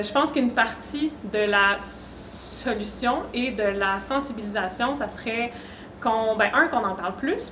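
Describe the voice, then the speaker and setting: A woman's voice: on the high side, a bit bright. Québécois woman speaking in an echoey room. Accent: Québécois French. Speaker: panelist, speaker. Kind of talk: informal awareness talk to an audience. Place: echoey room at a university event. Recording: roomy echo, faint background noise.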